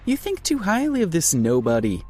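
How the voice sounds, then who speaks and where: A man's voice: fairly high pitch, huge pitch swings, moderate pace, darkish tone, faint music behind, pitched above the performer's own voice. A man voicing a ruthless, arrogant young male heir who talks in a cold, dismissive way. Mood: cold, scornful.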